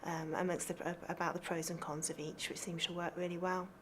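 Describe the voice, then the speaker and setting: A woman workshop organiser explaining an activity in an interview, in a halting manner. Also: lowish voice, flat delivery, noisy background.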